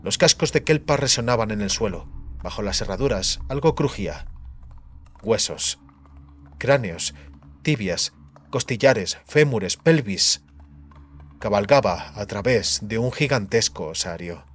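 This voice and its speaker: A man reading a fantasy audiobook in a measured, dramatic storytelling manner. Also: fairly low pitch, lively intonation. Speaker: audiobook narrator. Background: background music.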